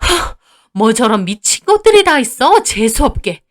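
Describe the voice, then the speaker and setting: Lady doing an angry older mother in law for a story, high voice, scolding and nasty. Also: very animated, unhurried. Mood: indignant scorn. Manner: scolding, contemptuous. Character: furious, spiteful older mother-in-law berating her daughter-in-law.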